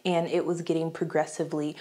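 A young woman from the United States chats to her camera in a home room, her voice sitting a little lower than most women's. She sounds slightly congested from a cold, and her casual storytelling is animated and full of laughs, picked up close on a lapel mic. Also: fast talker. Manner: chatty, animated, laughs often, gestures with her hands. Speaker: YouTuber. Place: small home room indoors.

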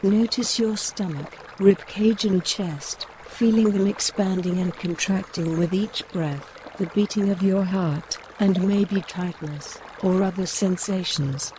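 Slow, mellow female meditation guide. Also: medium pitch, a little hiss or hum, poor audio. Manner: gentle, measured instructions with pauses. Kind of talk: guided mindfulness body scan narration. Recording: running stream water behind voice. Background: trickling woodland stream.

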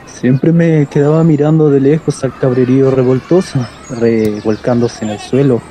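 A man's voice: mid-range voice, fairly even intonation, normal speed, dark tone, a little hiss or hum, natural voice. A man playing a wistful Chilean narrator recalling boyhood, in scripted radio drama narration.

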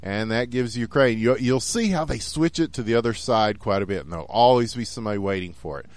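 Excitable Texan man calling a hockey game. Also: lowish voice, fast, dry recording. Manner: excitable, rising to shouts on goals. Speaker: esports caster. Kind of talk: play-by-play commentary.

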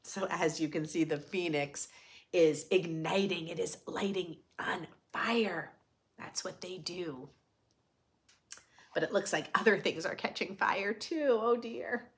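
A woman in her thirties hosting a children's read-aloud, giving gentle, expressive asides in a room at home. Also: lowish voice, slow. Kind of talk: picture book read-aloud with friendly asides to young children. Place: small furnished room at home, indoors.

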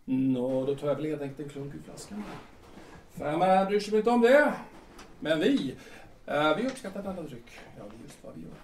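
In a distant, very echoey recording with faint noise, a man plays a grandiose young male playwright in an audio drama. His voice sits fairly high. He is agitated and theatrical, circling back over his own words. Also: very animated. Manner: agitated, theatrical, repeats himself.

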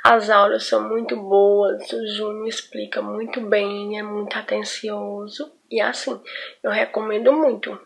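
A woman in her twenties giving a selfie testimonial, trailing off softly at phrase ends. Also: mid-range voice, little pitch movement, a little slow, gravelly, breathy edge. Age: 20s. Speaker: course student giving a testimonial.

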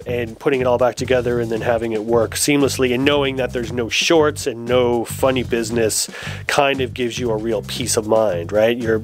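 A man in his forties explaining garage work step by step in a casual, detailed, conversational way. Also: mid-range voice. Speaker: host of a motorcycle build channel. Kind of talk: casual explanatory workshop talk. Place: garage workshop with foam-padded wall.

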